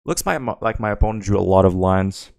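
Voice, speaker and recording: A man's voice: sits low, animated, a little fast, gravelly, no room sound, studio quality. A French Canadian man whose first language is French, streaming a card game and thinking aloud while answering chat questions. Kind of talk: live gameplay commentary.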